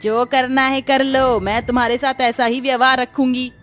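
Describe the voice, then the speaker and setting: A woman's voice: high voice, level tone, normal speed, a bit bright. A woman voicing a domineering, spiteful middle-aged mother-in-law in a cartoon, scolding and taunting. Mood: hostile. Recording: music under the voice.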